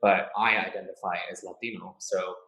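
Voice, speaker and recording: A young American man hosts a podcast interview in a friendly way, asking questions between stretches of talk. His voice sits a bit low. The sound carries a noticeable room echo. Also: fairly even intonation, normal speed, crowd noise. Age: young adult. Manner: friendly, with scripted intro. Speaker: podcast host. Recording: echoey room.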